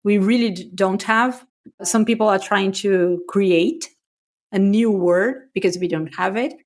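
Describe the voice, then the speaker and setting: A woman from Brazil, a native Portuguese speaker, co-hosting a podcast in casual, animated conversation and laughing often. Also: mid-range voice, measured pace. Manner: animated, laughs often, self-mocking asides. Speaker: podcast co-host.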